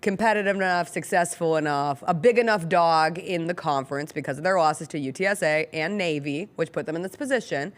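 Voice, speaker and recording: A woman's voice: low-pitched, fairly quick, few pauses. A woman hosting a sports talk show in casual banter, with a wry, teasing manner.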